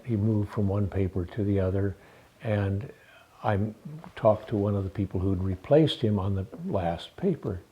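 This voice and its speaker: An elderly American man in his eighties or older with a husky, aged voice, reminiscing in an interview and telling anecdotes with long pauses between phrases. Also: fairly low pitch, mellow, a little hiss or hum. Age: 80s or older. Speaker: interviewee, veteran photographer. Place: small indoor room with framed photographs.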